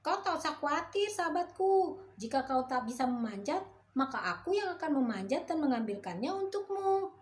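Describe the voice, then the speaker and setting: A woman's voice: sits high, big pitch swings, normal speed, slight background noise, pitched above the performer's own voice. A woman voicing a sly, greedy male monkey who sweet-talks his friend in a children's story. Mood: coaxing. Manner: sweet-talking, coaxing.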